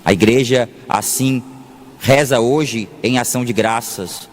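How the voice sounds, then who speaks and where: Slow-paced Brazilian priest in an echoing hall. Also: male voice, medium pitch. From Salvador, Bahia, Brazil. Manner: deliberate, pausing often, repeats phrases for emphasis. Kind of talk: sermon. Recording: long echoing reverb of a large hall.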